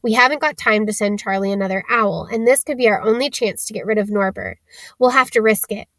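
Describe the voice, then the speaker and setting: A woman voicing a decisive young schoolboy hero in a storybook, practical, resolute and quietly urgent. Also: medium pitch, a little fast, dry recording, natural voice.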